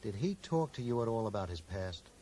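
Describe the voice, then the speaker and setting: A polite, inquisitive bookseller from an eighties radio drama. His voice is very low and he talks briskly, probing carefully. The old broadcast recording carries slight noise. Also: sing-song, dark tone. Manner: questioning, measured, courteous. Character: probing, polite bookseller investigating a stranger's death. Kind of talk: 1980s radio drama acting.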